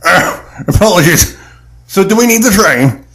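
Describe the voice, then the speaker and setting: A man's voice: high voice, lively intonation, moderate pace, a bit bright. A man playing a genial British lord and emissary in an audio drama, formal and politely hesitant.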